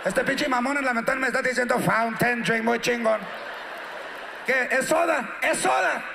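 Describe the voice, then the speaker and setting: A man on stage acting out a curt male fast-food worker at a drive-thru speaker, who talks in a Mexican accent and mixes in Spanish. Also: extremely high pitch, gravelly, roomy sound, background noise. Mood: impatient.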